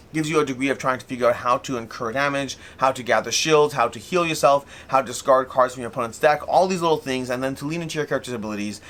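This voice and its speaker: Fast-talking man, rambling video host. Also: medium pitch, a bit bright. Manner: talkative, rambling, often rephrases and qualifies himself. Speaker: host of a board game review channel. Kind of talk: casual unscripted video recap.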